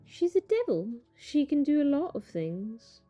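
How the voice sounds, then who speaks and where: A woman playing an eccentric, sardonic female companion in an audio drama, speaking in a deadpan, matter-of-fact way. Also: sits high, a little slow, highs cut off, soft background music. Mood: calm, chatty.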